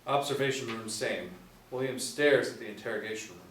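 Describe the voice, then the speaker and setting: A man's voice: medium pitch, fairly quick, a bit bright. A young adult man narrating stage directions as a scripted reading at a table read. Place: small meeting room, seated in a row. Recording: echoey room, distant mic, faint noise.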